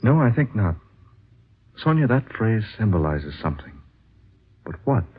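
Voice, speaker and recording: An American actor playing an earnest, dreamy married writer in a 1940s radio drama, speaking in a measured, reflective storytelling way. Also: male voice, lowish voice, big pitch swings, slightly rough, heavily acted. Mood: hesitant, tender. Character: earnest dreamy married male writer recounting his quest. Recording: muffled vintage radio recording.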